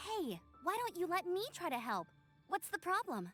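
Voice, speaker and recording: A friendly young game knight scout, high, lively, encouraging. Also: female voice, unhurried, faint music behind, exaggerated voice. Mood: upbeat. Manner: upbeat, encouraging, chatty. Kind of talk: video game voice acting.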